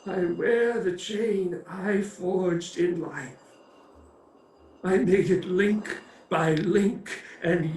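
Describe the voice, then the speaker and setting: High, echoing, anguished ghost. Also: male voice. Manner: slow, declamatory, wailing. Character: anguished ghost of a dead businessman, mournful and doom-laden. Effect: cavernous echo on the voice. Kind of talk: theatrical radio play acting. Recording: echoing, distant-sounding voice.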